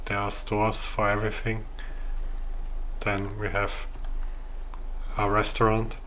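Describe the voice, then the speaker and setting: Casting: Austrian-accented man for casual game walkthrough; halting, very slow delivery, monotone, noise behind the voice. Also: lowish voice. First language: German. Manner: halting, searching for words. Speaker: gamer showing his own game build.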